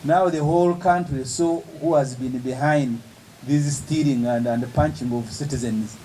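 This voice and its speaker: A man, an opposition politician giving an emphatic, measured press statement with pauses between phrases. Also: mid-range voice, dark tone, a little hiss or hum, poor audio.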